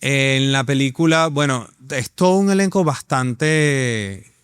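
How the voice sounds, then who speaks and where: An enthusiastic Venezuelan man in his thirties chats as an interview guest, often pausing on fillers and restarting his sentences. His voice is smooth and resonant at a middle pitch. The sound is dry and close. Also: fairly bright tone, no room sound. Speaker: actor and guest. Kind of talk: casual interview conversation.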